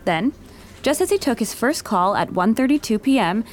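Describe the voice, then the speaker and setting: A woman's voice: fairly high pitch, lively intonation, normal speed, a little hiss or hum. A woman delivering scripted third-person story narration as the narrator of an audio drama. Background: music.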